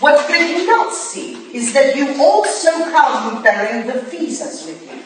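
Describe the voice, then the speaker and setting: In a distant, very echoey room with faint noise, a stern Victorian lady detective played by a woman gives curt orders in a high voice. She is brusque and imperious, theatrical in delivery, with lively swings of pitch. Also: unhurried. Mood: focused, brisk. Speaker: actor in a staged reading. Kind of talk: theatrical staged reading. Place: roomy hall at a live reading. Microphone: distant recorder.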